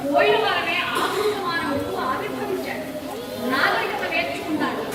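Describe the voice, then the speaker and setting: Actress as very high declaiming woman, echoey hall. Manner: declamatory, instructive, emphatic. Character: woman explaining humankind's history to villagers. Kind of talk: projected stage-play acting. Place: stage in a large hall. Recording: echoey crowded hall, distant mic, loud music.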